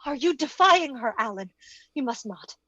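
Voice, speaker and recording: Very high, earnest, distressed young woman , acted by a woman. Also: animated, normal speed, fairly bright tone, no echo, muffled recording. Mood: pleading. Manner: earnest, melodramatic pleading. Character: dainty, distressed young woman, dutiful and self-denying. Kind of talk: old-time radio drama acting.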